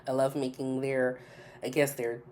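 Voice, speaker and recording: Woman, low pitch, nasal and congested, informal gaming commentary, chatty, emphatic repetition. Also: little pitch movement, normal speed, a little mellow, slight background noise. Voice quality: stuffy, nasal. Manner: informal, repeats phrases for emphasis. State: congested from a cold. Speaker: gaming video host. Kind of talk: casual chatty voice-over commentary.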